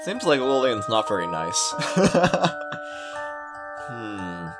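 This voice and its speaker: A man's voice: medium pitch, sing-song, extremely slow. A man hosting a gaming video, reading a visual novel aloud with casual commentary. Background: game music.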